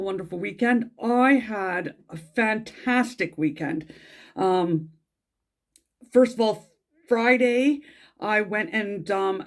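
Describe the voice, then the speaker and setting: A middle-aged Englishwoman, a tarot reader, chatting in a casual vlog with a croaky throat from a cold, rambling and gushing with many ums and restarts. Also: mid-range voice. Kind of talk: casual weekly vlog chat.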